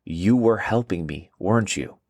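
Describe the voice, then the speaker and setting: A man's voice: low-pitched, expressive, moderate pace, a little mellow, dry recording. A man plays a young girl explorer in a storybook for kids. She is curious and easily amazed, her questions moving up and down expressively in a dry recording. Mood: wondering. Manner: wide-eyed, questioning.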